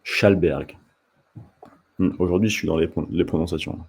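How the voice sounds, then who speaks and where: A man with a deep, husky voice talks casually to the camera as a channel host. His tone is dark and mellow, and he often stops and restarts his sentences as he addresses the viewer directly. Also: fairly even intonation. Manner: halting, many restarts, talks straight to the viewer. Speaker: table tennis coach and channel host.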